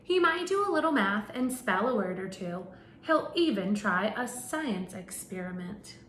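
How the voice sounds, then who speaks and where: Woman teacher, picture book read-aloud, lively swooping voice, echoey room with faint hum. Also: mid-range voice, a little slow. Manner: animated storytelling with questions to children.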